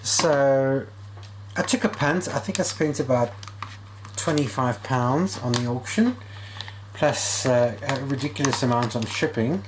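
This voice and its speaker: A man talking casually and thinking aloud as he does a hands-on repair vlog. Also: mid-range voice. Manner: informal, thinking aloud while working. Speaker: video host and radio repair hobbyist. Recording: clear background noise.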